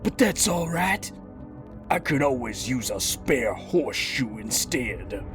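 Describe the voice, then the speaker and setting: A man's voice: medium pitch, very animated, takes it slowly, over music. A man voicing a cocky, menacing cartoon master criminal who talks in theatrical street slang while presenting a scripted toy review. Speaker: host of a toy figure review. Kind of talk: scripted in-character review with radio-drama flair.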